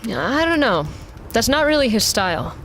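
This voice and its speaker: An actress playing a tough, sardonic robot pilot in a science fiction audio drama, talking in a dry, blunt, casual manner. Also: female voice, a little high, sing-song, moderate pace, fairly bright tone, slight background noise. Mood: wry, matter-of-fact.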